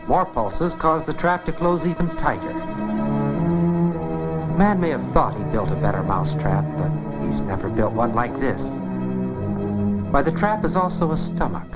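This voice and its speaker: American guy hosting an old 50s science film, really animated, chatty explainer, muffled vintage film sound. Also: medium pitch. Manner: rhetorical, explanatory, folksy and engaging. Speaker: presenter of an educational science film. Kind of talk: 1950s educational film lecture, conversational. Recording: dull 1950s film soundtrack.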